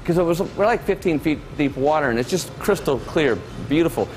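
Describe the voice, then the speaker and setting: A man's voice: on the high side, a little fast, soft background music, rough recording. Man telling a keynote story. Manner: conversational, animated storyteller. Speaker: keynote speaker.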